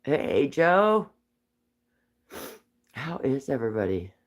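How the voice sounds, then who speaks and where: A man with a cold and a raspy voice, chatting casually on a live stream while whittling wood, rambling with frequent ums. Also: medium pitch, big pitch swings, slow pace. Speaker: livestream host whittling wood.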